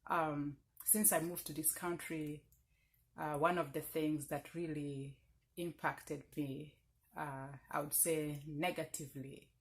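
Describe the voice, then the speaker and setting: A woman in her thirties from Uganda with a strong Lugbara accent, talking earnestly and reflectively in a personal vlog, pausing often with fillers. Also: low-pitched, steady tone. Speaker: vlogger. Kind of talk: casual personal talk to camera.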